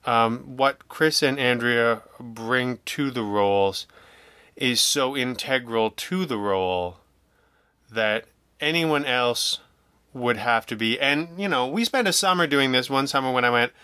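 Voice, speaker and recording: A man talking casually as an interview guest, in a rambling, halting way, emphatic in his opinions. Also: mid-range voice, expressive, pushed and bright, smooth, dry recording. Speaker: podcast guest, audio drama writer and producer.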